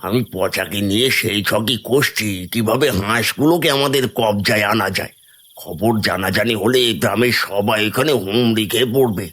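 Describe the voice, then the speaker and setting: Low-voiced scheming village thief played by a man. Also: moderate pace, a bit bright, husky. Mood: sly. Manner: sly, plotting, dismissive. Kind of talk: Bengali fairy-tale cartoon dialogue. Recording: music behind the voice.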